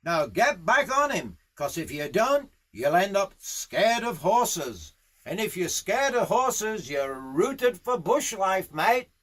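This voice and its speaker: A man performs a gruff, goading bushman boss from a memoir. The voice rides high and swings widely in pitch. He barks blunt, sweary orders at a drawn-out, unhurried pace. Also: big pitch swings, heavily acted. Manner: loud, barking, swearing, blunt. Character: gruff, foul-mouthed Australian horse-riding boss, rough and goading.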